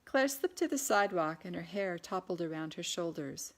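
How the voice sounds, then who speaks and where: A woman with a slightly low voice narrates a historical novel. She reads scripted prose as the author of the book. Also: expressive, moderate pace. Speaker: author reading their own novel.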